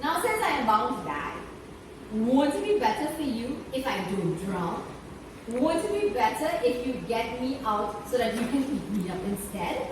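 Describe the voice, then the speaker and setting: A woman voicing a sly male storybook rat who pretends to be drunk, pleading and wheedling in slow, drawn-out speech. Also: fairly high pitch, sing-song. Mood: drunken pleading. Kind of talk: storybook character voice. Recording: echoey room, distant mic, clear background noise.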